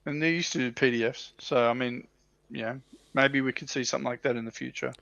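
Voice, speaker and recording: A man's voice: medium pitch, steady tone, fairly quick, gravelly, fairly bright tone, no room sound, muffled recording. A man co-hosting a livestream, chatting casually about tabletop miniature wargaming. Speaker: livestream co-host.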